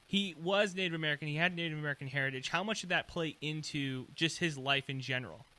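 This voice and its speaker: A man hosting a podcast, asking curious, slightly halting questions of his guest. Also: on the high side, sharp tone. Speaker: podcast host. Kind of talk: interview questions.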